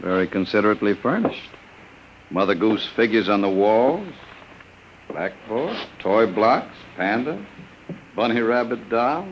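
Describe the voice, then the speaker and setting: A suave, cold gangster with a low, sardonic purr, whose pitch rises and falls for effect. He comes through a dull old radio play recording with faint hiss. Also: very animated, a little slow. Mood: menacing. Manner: smooth, sardonic, threatening. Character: smooth menacing male gangster, suave and cold. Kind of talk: 1950s radio drama acting.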